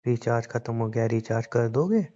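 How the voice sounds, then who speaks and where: A man's voice: medium pitch, lively intonation, brisk, mellow. A young man joking and teasing his girlfriend in a casual phone call. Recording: dull lo-fi call recording.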